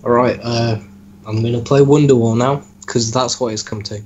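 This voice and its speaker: A man talking casually as an interviewer, with a deadpan, mock-serious teasing manner. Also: mid-range voice, moderate pace, muffled recording, over loud music. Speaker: host interviewing a story's author. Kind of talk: casual joking chat with reading aloud.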